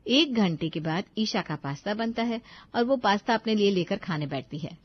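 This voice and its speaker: A woman's voice: medium pitch, lively intonation, normal speed, lo-fi sound. A woman reading scripted narration for a family cartoon story as the storyteller.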